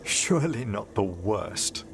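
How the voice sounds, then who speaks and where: An actor playing a refined, reflective necromancer with measured, formal phrasing and a gently wry manner. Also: male voice, fairly low pitch, very animated, vocal fry, noisy background, exaggerated voice. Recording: music behind the voice.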